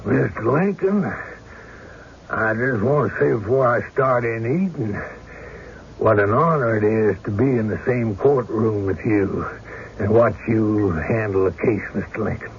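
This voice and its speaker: An actor playing a calm, deferential small-town sheriff, plain-spoken and folksy, in a radio drama. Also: male voice, fairly low pitch, unhurried. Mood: respectful. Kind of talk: 1970s radio drama acting. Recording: muffled, noisy old radio broadcast.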